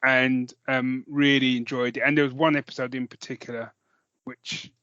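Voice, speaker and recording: A man's voice: mid-range voice, level tone, moderate pace, pushed and bright, no room sound, lo-fi sound. A man, co-hosting a podcast in casual, jokey, rambling chat with some swearing. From London, England. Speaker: podcast co-host.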